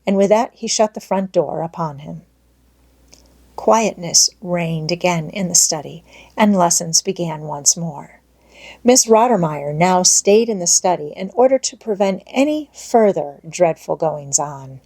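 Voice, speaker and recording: Mellow, lower-pitched woman reading a story. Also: lively intonation, a little slow. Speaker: audiobook narrator. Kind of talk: expressive scripted storybook narration.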